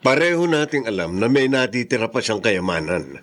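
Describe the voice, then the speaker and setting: A man's voice: medium pitch, sing-song, a little fast, a bit bright. Sly, greedy scheming man. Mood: smooth, calculating. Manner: sly, cynical. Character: greedy, scheming son-in-law after an inheritance. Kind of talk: radio drama acting.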